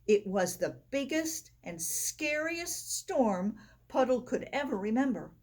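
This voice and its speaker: A woman reads a children's story aloud in a slightly high, husky voice. Her delivery is expressive and swings up and down in pitch. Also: takes it slowly. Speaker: storybook reader. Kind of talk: expressive read-aloud of a children's picture book.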